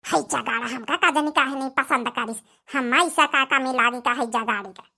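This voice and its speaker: A woman's voice: high-pitched, moderate pace, vocal fry, sharp tone, exaggerated voice. A woman voicing a chatty, insistent, scheming village mother with an Awadhi accent in a cartoon comedy. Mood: resentful, scheming. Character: scheming village mother pushing her favoured daughter. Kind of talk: rustic cartoon comedy acting.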